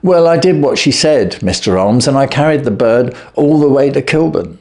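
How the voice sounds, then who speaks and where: A man's voice: mid-range voice, animated, a little mellow, voice pushed up, close to an everyday voice. A man voicing a desperate young thief. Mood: anxious. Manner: desperate, pleading, rushed. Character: frightened young male thief confessing.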